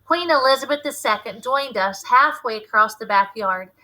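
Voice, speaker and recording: Bright, high-voiced woman reading a story. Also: moderate pace. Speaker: teacher reading a novel aloud. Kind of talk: expressive storybook narration.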